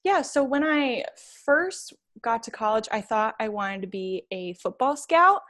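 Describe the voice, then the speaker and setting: A woman's voice: on the high side, expressive, unhurried, fairly bright tone. A woman in her twenties from New York, answering interview questions in a chatty, enthusiastic way as a podcast guest. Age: 20s.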